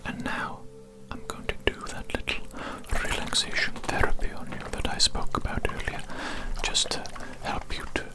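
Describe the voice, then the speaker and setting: An ASMR performer whispering a medical roleplay as an oddly formal, effusive alien doctor posing as human, with deliberate phrasing and repeated words.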